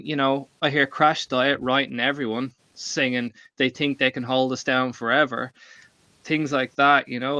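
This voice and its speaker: A man's voice: medium pitch, bright, forceful tone, no echo. An Irish man telling personal stories casually on a livestream panel, emphatic and given to swearing. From Ireland. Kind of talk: casual chat, personal storytelling. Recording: dull, lo-fi microphone.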